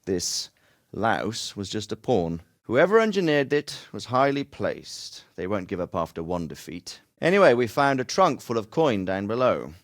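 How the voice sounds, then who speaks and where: A commanding medieval lord, lively intonation. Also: male voice, fairly low pitch. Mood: grave, reassuring. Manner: formal, authoritative, measured. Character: commanding middle-aged medieval Bohemian lord, measured and fatherly. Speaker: nobleman and military leader. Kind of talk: video game dramatic dialogue.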